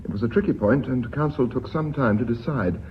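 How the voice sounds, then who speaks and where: An actor playing a careful company legal adviser in a British radio drama, speaking in a measured, precise way with an English accent. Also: male voice, medium pitch, lightly acted. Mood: cautious, factual. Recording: muffled hissy old radio recording.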